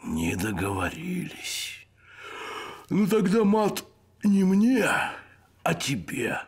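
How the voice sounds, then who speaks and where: A man's voice: sits high, huge pitch swings, slow, a little mellow, slight background noise, heavily acted. A man playing a grumpy, overbearing cartoon king who grumbles and gives orders. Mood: grumpy, commanding. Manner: grumbling, commanding.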